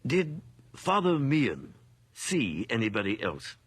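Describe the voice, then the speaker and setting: A probing, expressive judge. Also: male voice, mid-range voice, slightly rough, exaggerated voice. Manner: deliberate, questioning. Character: probing, composed male judge. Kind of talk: radio drama acting.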